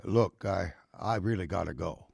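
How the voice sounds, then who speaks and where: Gravelly, grumpy old man. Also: deep voice, normal speed, darkish tone, dry recording, studio quality, full character voice. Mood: reluctant, grumbling. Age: older. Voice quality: gravelly, rough. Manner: hesitant, stammering, muttering. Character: grumpy, flustered homeless man with a soft spot. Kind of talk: audio drama acting.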